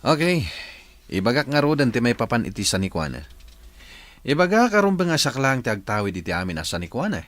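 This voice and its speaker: A man's voice: mid-range voice, very animated, a little fast, fairly bright tone, no room sound. A man playing a pushy, grasping elder brother in a radio drama, emphatic and complaining, with an Ilocano accent. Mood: insistent.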